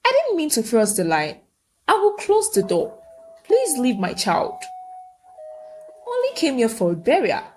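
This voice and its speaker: Woman doing a scared young mum in a folktale, earnest and pleading, high voice, big swoops. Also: huge pitch swings, measured pace, long pauses, soft background music, exaggerated voice. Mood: pleading, contrite. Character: frightened, pleading pregnant young mother, humble and sincere.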